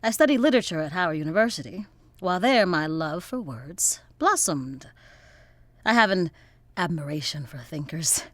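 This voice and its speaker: An actress playing a proud, defiant young activist scholar with articulate, formal diction in an audio drama. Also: female voice, on the low side, expressive, moderate pace, a bit bright, no room sound. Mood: poised, guarded. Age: young adult.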